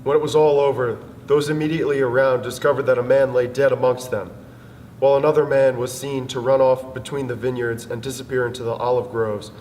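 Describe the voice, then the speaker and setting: A man narrating a dramatic literary reading, solemn and measured in delivery. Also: medium pitch, darkish tone, slight background noise. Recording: long hall reverb, music over voice. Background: string music.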